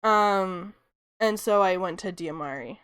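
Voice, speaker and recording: A woman's voice: mid-range voice, a little slow, a bit bright, clear, resonant tone, dry recording. A woman streaming her art, chatting casually and rambling with frequent laughs, in a soothing voice. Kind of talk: casual chat while drawing on stream.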